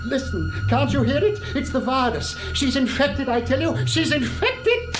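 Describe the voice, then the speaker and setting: A man's voice: very high voice, big pitch swings, measured pace, music in the background, heavily acted. A British man acting as a troubled, earnest doctor in a radio thriller, hesitant and overwrought. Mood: frantic, insistent. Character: troubled, haunted English male doctor, earnest and insistent.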